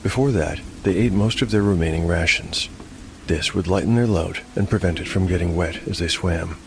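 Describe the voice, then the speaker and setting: A man narrating a scripted dark fantasy tale as game master, with measured storytelling delivery. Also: deep voice, background noise. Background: music.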